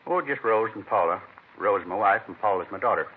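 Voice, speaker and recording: An actor playing a rambling, earnest middle-aged American neighbor in an old radio crime drama, plain-spoken and folksy. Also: male voice, on the low side, animated, brisk, breathy edge. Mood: chatty. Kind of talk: 1950s radio acting. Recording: thin, narrow-band vintage radio recording.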